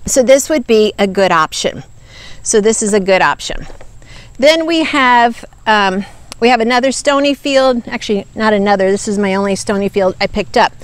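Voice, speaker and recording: A woman's voice: medium pitch, normal speed. A woman in her fifties reviewing products to camera outdoors, explaining in an earnest, emphatic teaching manner on a lapel mic. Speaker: nutrition ministry host. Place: outdoors by woods.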